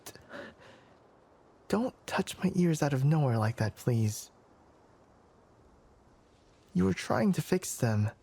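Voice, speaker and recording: A man playing a flustered, formal young fox-eared forest scholar in an intimate ASMR anime roleplay, hesitant and stammering, often slipping into a breathy whisper. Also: on the high side, big pitch swings, slow, long pauses, dark tone, heavily acted. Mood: flustered, protesting.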